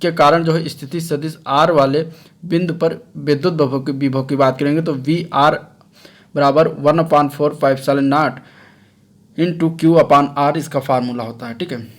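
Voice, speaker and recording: Male science teacher, voice a little high, didactic exam-prep lecturing from notes with regular check-ins. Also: steady tone, a little slow. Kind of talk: explanatory lecture read from notes.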